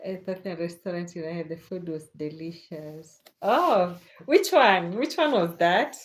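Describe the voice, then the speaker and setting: Kenyan lady rambling on her stream, slow easy pace, lots of little laughs. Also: on the low side. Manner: unhurried, chatty, laughs often. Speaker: livestream host. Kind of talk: casual chat reading viewer comments.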